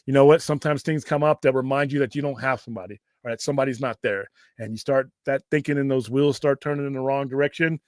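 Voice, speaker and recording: An American man with a gruff voice hosts a casual livestream, talking in a rambling, off-the-cuff way and swearing casually. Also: medium pitch, dry recording, clean studio sound. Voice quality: gruff, self-described Cookie Monster voice. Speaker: host of a pop culture livestream show. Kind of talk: casual livestream chat, question and answer.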